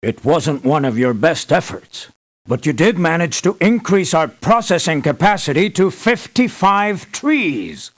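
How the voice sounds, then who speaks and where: An actor playing an earnest, measured male leader giving formal, deliberate briefings in a scripted video game. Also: fairly high pitch, sing-song. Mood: measured, approving. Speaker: mission briefer.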